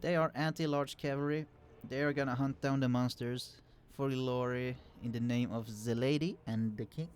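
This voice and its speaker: A man talks through a strategy game as he plays, explaining units and tactics in a loose, conversational way. He pauses often with fillers and restarts his sentences. His voice sits at an ordinary middle pitch and is recorded at a quiet level. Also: steady tone, clear, resonant tone. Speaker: let's play host. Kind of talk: casual gameplay commentary. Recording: quiet voice, turned down to hide computer hum.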